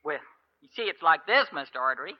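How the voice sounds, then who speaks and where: A man's voice: a little high, big pitch swings, fast talker. A man playing a good-natured, sheepish cowhand with folksy, ungrammatical talk in an old-time radio western. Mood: amused, sheepish. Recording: dull vintage radio recording.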